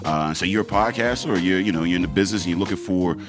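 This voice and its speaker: Male podcast host, deep voice, relaxed plug-reading delivery, studio mic, music bed. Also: rapid-fire. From Pittsburgh, USA. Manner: laid-back, loose, swearing, many fillers. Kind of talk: casual podcast chat, reading sponsor plugs.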